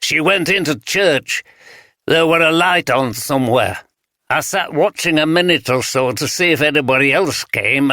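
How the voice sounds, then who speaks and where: A man voicing a wary, scruffy homeless man with a broad Yorkshire accent, gruff and guarded. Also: a little high, bright, forceful tone, dry recording, studio quality, voice pushed up. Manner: gruff, guarded, blunt dialect speech.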